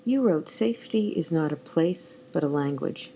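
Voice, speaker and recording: Low, gravelly woman interviewer. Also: animated, warm tone, slight background noise. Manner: measured, deliberate questioning. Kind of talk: reading prepared interview questions.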